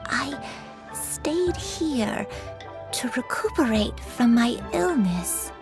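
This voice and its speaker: Frail gravelly old granny. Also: female voice, high voice, expressive, music in the background, full character voice. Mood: wistful. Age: older. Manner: slow, halting, gravelly. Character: frail forgetful old woman, gentle. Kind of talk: game voice acting.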